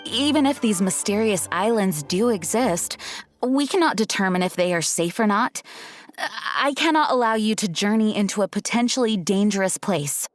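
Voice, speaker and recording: A composed knight commander from a video game, played by a woman. Her middle-pitched voice is formal and authoritative, with measured, dutiful phrasing. Quiet music plays behind. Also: animated, close to an everyday voice. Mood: firm. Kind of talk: scripted video game dialogue.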